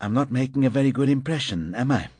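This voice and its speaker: Male reader as volatile man, audiobook character, blunt, aggressive, desperate, rough husky voice, dry sound. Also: medium pitch, lively intonation, fast talker, a little mellow, no room sound. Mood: awkward, concerned. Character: volatile, foul-mouthed man, angry and desperate.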